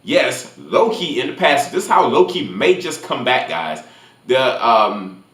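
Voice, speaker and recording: A man's voice: mid-range voice, very animated, a little slow, a bit bright. A man hosting a casual movie review, retelling things in an informal, conversational way. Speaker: film review channel host. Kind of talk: casual movie recap with spoilers. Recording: roomy echo, faint music behind.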